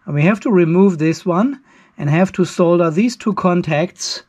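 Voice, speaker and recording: A man's voice: high voice, normal speed, darkish tone, breathy edge. An older man with a German accent, narrating hands-on work casually and informally as he thinks aloud in a garage workshop, with some self-deprecation. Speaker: DIY video host.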